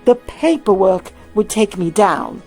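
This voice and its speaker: A woman's voice: medium pitch, expressive, unhurried, darkish tone, husky, faint music behind. A woman voicing an earnest young woman who manages a zoo, in an audiobook-style story reading. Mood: tired.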